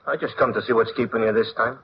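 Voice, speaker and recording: An actor playing a grumbling, lazy working-class cab driver with slangy, complaining talk, a comic sidekick in a 1940s radio play. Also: male voice, medium pitch, fairly even intonation, fast talker, close to an everyday voice. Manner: slangy, complaining, plain-spoken. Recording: muffled, faintly noisy old radio recording.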